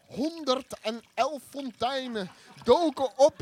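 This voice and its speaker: A man's voice: very high voice, slow pace, heavily acted. A man playing a pompous spaceship captain who grandly narrates his own adventure in a comic sci-fi radio play, theatrical and stumbling over his words. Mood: grandiose. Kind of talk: live improvised sci-fi radio play, mock-heroic narration.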